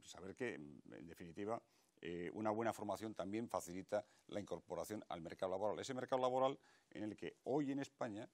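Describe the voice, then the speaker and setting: Fast, low-voiced man in a TV debate. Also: animated, darkish tone, no echo. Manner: fast, hesitant with many fillers and restarts. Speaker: political candidate. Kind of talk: televised debate.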